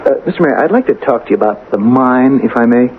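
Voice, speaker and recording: An actor playing an educated, probing radio reporter in a vintage radio drama, speaking in a formal, measured, inquisitive way. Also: male voice, mid-range voice, lively intonation, a little hiss or hum, close to an everyday voice. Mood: probing, polite. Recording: lo-fi old radio recording.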